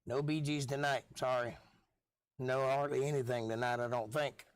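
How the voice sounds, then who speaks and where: A middle-aged man talks in a relaxed, folksy way to the viewers of his live stream. His voice is hoarse and worn because he is losing it. He sits at a mid pitch, and the sound is dry, with no room around it. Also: moderate pace, no echo. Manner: laid-back, chatty, folksy. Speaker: live stream host and musician.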